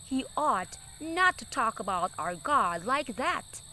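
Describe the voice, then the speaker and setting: A woman's voice: on the high side, big pitch swings, slow, sharp tone, slight background noise, voice pushed up, exaggerated voice. A woman voicing a brave, earnest young shepherd boy in a children's story. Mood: defiant. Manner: earnest, bold.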